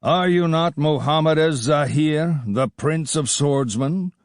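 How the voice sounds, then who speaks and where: A man's voice: mid-range voice, unhurried, no room sound, clean studio sound, voice pushed up, natural voice. A man voicing a confident, swaggering Kurdish desert rider who speaks boldly and proudly. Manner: bold, proud, flattering.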